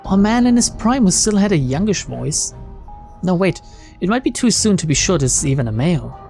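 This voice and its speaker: A man reading a visual novel aloud as narrator, in an expressive, dramatic storytelling style. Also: high voice, brisk, gravelly. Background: faint game music.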